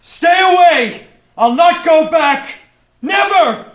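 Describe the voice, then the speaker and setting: An actor playing a deranged, murderous son screaming threats in a radio mystery drama. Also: male voice, extremely high pitch, lively intonation, takes it slowly, a bit bright, full character voice. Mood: frenzied. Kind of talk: old-time radio mystery drama acting.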